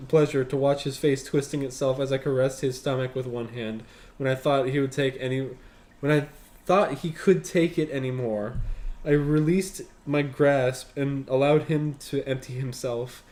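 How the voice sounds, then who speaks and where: A man's voice: mid-range voice, fairly even intonation, normal speed, darkish tone. Man, mid-range voice, podcast host, reading aloud, casual commentary, swears freely.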